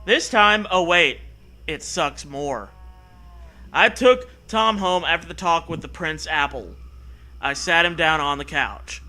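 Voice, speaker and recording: A man's voice: on the high side, sharp tone. A man hosting a comedy show, reading a story aloud mockingly and riffing in a sarcastic, profane, exclamatory way.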